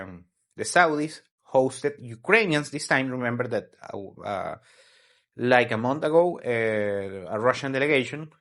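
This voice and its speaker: Guy from venezuela doing a weekly news rundown, slow and halting, mid-pitch voice. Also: fairly bright tone. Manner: halting, searching for words as he explains. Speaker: host.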